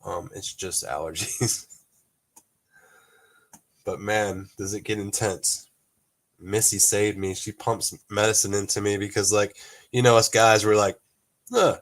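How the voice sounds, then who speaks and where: A man's voice: fairly low pitch, fairly even intonation, a little slow, pauses often, fairly bright tone. Congested laid-back man chatting on stream. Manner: rambling, laid-back, laughs often, mild cursing. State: congested from allergies, coughing. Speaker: toy collector livestream host. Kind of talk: casual livestream chat with viewers.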